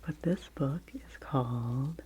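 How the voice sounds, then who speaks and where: A woman in her forties, whispering gently and unhurriedly as she hosts a soft-spoken ASMR book review. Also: rumbling low, takes it slowly, slightly rough. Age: 40s. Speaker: ASMR host.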